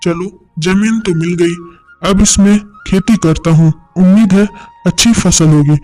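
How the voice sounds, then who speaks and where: A man plays an honest, hardworking farmer in a village cartoon. His voice is fairly high, earnest and deferential, set over light music. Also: measured pace, a little mellow. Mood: hopeful. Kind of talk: animated moral story dialogue in Hindi.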